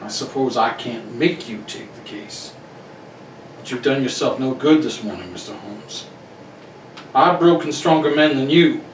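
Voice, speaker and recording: A man's voice: medium pitch, lively intonation, measured pace, lightly acted. A man playing an arrogant, hot-tempered wealthy tycoon in a radio mystery, blunt, imperious and threatening. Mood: angry. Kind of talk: 1940s radio mystery acting. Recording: roomy, distant, faintly noisy recording.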